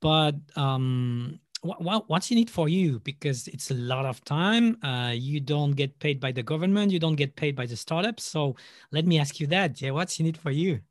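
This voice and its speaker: A man's voice: a little high, animated, normal speed, dry recording, clean studio sound. A man interviewing a guest, asking curious questions with stammered restarts.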